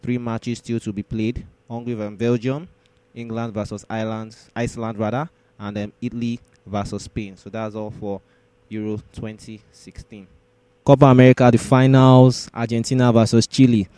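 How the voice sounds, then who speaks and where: A man's voice: mid-range voice, little pitch movement, moderate pace, warm tone, clear, resonant tone, no room sound. A man hosting a sports show, running through results and predictions in a roundup style.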